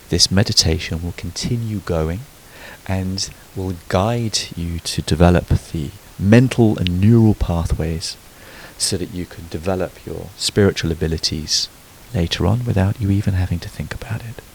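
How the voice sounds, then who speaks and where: A man leading a guided sleep meditation, giving soothing, unhurried instructions with frequent pauses. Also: sits low, a little hiss or hum, rough recording. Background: background music.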